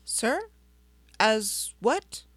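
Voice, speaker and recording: A woman voicing a guarded young female detective, speaking softly and hesitantly. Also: fairly high pitch, sing-song, extremely slow, no room sound, studio quality, exaggerated voice. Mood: wary.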